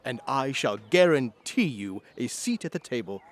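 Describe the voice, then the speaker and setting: An actor playing a reformed old miser in an audio drama, effusive and courtly, generous and humble. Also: male voice, mid-range voice, animated, normal speed, close to an everyday voice. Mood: giddy delight. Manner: exclamatory, effusive, old-fashioned courtly speech.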